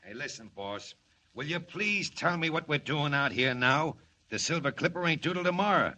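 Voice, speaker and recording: A jittery western gambler, slangy and rough, bright edgy voice, old radio hiss. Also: male voice, on the high side, expressive, moderate pace. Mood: nervous. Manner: rough, slangy, nervous questioning. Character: nervous male gunman and gambler, tough but jumpy. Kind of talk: 1940s radio drama acting.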